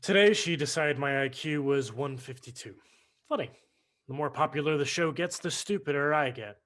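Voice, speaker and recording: A man in a staged play reading, playing a precocious, exasperated son with dry, deadpan sarcasm. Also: a little high, moderate pace, close to an everyday voice. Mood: weary, sardonic. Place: indoors on a video call. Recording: recorded over video call.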